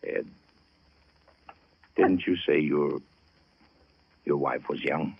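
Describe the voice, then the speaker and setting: An actor playing a brusque, hard-nosed police detective in a 1940s radio drama, terse and commanding. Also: male voice, a bass voice, extremely slow, gravelly, breathy edge, exaggerated voice. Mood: hushed, grave. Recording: dull old radio broadcast.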